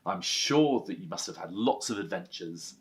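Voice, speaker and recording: A man voicing a gentle, concerned mother in a children's storybook. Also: low-pitched, lively intonation, normal speed. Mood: kindly.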